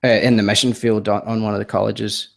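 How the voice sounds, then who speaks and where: A man in his thirties from Scotland, his accent softened by years in the US. He is a podcast guest telling his story in casual chat, hesitant and self-deprecating, with plenty of ums and restarts. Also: on the low side, little pitch movement, brisk, vocal fry. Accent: Scottish, softened by years in the US.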